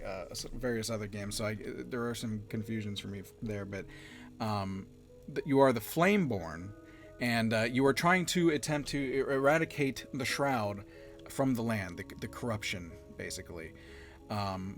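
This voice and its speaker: A man hosts a casual podcast in a voice of ordinary, middling pitch. He rambles, circles back and corrects himself, often breaking into laughter. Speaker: podcast host.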